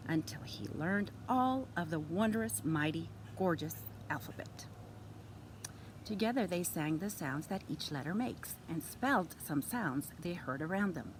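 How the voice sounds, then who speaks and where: A woman reading a children's story aloud expressively, with deliberate, teacherly phrasing. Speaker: storytime reader. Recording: clear background noise, vehicle rumble. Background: background noise.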